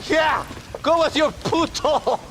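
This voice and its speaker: A man playing a slippery, wheedling Mexican outlaw in a video game, with a Mexican accent and a halting, familiar manner. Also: extremely high pitch, animated, background noise, exaggerated voice. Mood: bitter, cursing. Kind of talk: video game cutscene acting.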